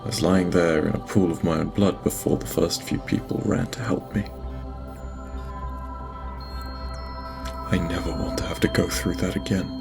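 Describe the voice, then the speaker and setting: Man acting a shaken, low, gravelly-voiced lord. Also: fairly even intonation. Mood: distressed, remorseful. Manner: halting, stammering, broken off with sighs. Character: shaken, guilt-ridden lord dictating a private log. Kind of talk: audio drama acting, personal log monologue. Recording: music behind the voice. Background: music.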